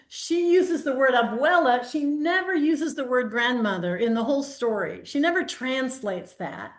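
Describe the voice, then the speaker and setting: Give me a woman leading a casual workshop over a video call who now and then reads from a picture book. She sounds a touch high and chatty. Her voice has a roomy echo to it. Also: a bit bright. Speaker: workshop host. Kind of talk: casual group discussion on Zoom.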